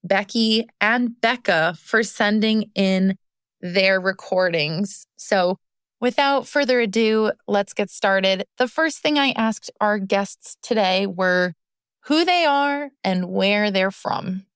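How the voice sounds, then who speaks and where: A woman's voice: medium pitch, slow pace, a bit bright, clear, resonant tone, no echo, studio quality. A woman hosting a podcast as a recovery coach, talking in an earnest, encouraging way. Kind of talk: scripted podcast monologue.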